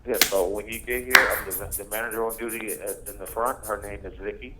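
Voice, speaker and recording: A man's voice: fairly low pitch, steady tone, brisk, over loud music. A man working in customer service on the phone, polite and accommodating, repeating himself as he explains. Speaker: restaurant employee taking a phone call.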